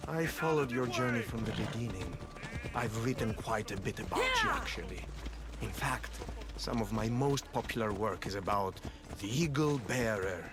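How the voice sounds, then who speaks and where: An actor playing a philosophical, quietly menacing cult storyteller in a video game, speaking in a measured, eloquent, theatrical way. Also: male voice, on the low side, lively intonation, natural voice. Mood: courteous, intrigued. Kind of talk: video game dramatic acting. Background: music.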